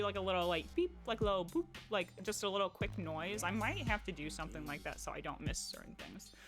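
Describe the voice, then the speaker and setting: A young woman with a Midwestern accent talking casually to her stream chat in a rambling way, full of "like" and restarts. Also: mid-range voice. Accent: Midwestern American. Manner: chatty and rambling, heavy on "like" and restarts.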